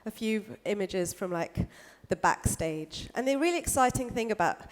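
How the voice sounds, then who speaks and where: A woman gives a relaxed talk from a stage in a hall, and her voice rings with a long reverb. She speaks at a middle pitch and leans on fillers like "kind of" and "like" as she goes. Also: moderate pace. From United Kingdom. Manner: casual, many fillers like "kind of" and "like". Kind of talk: conference talk. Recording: echoey hall.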